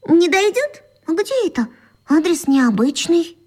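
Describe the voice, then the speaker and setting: A woman's voice: extremely high pitch, very animated, unhurried, darkish tone, heavily acted. A woman playing a careful, earnest, curious little schoolgirl in a radio play. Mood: earnest, doubtful.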